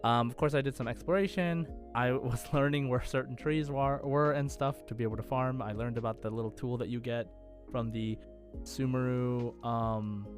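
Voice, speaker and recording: A man's voice: mid-range voice, fairly even intonation, moderate pace. Man, mid-range voice, casual gaming chat, enthusiastic and wordy, music underneath. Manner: rambling, enthusiastic. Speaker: podcast co-host and game streamer.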